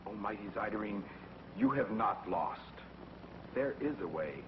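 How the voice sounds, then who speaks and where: A cunning adviser who flatters his mistress and coaxes her toward bigger conquest. His voice rings out with a long reverb tail. The sound is muffled like an old TV soundtrack. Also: lowish voice, unhurried, noisy background. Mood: persuasive. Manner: persuasive, flattering. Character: scheming male adviser to a villain. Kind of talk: 1950s TV drama acting.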